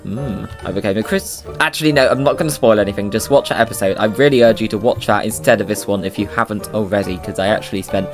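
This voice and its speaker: A man's voice: lowish voice, quick pace, hardly any pauses, faint music behind. A man with a slight cold, giving playful, bouncy commentary on his Minecraft video. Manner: playful, bouncy, silly sing-song asides. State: slightly under the weather with a cold. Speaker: Minecraft YouTuber. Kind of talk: casual gameplay commentary.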